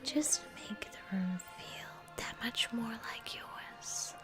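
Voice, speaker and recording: A woman with a New Zealand accent, whispering a gentle, soothing ASMR travel narration at an unhurried pace. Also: fairly high pitch, lively intonation, music in the background.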